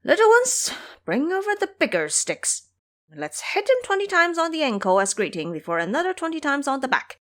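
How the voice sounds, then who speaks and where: A woman's voice: high voice, big pitch swings, a little fast, fairly bright tone, voice pushed up. A woman voicing a cocky, hot-tempered monkey king in an audio drama, imperious, taunting and scolding. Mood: gleefully threatening.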